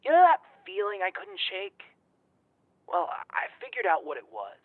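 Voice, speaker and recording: A man's voice: high-pitched, huge pitch swings, normal speed, dry recording. A man playing a rattled young man confiding in his friends in an audio drama, speaking hesitantly over a muffled line. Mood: earnest.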